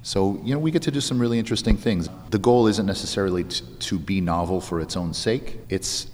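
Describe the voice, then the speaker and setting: Male interviewee, music director type: low voice, thoughtful, explanatory, conversational. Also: a little fast, darkish tone, long reverb tail, a little hiss or hum. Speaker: music director of an a cappella group being interviewed.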